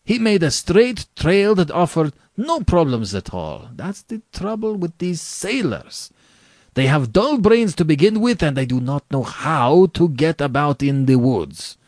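A man's voice: fairly high pitch, big pitch swings, unhurried, a little mellow, dry recording, studio quality, voice pushed up. A man voicing a suave, falsely courteous aristocratic general and cruel hunter in a storybook, with a courtly, condescending, theatrical manner. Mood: scornful, annoyed. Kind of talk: dramatic storybook reading.

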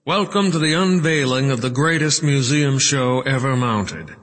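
A man voicing a grandiose male museum director making a speech in an audiobook, booming and ceremonious. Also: a little slow, a bit bright, big-room sound, lightly acted. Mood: grand welcome. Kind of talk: audiobook character acting.

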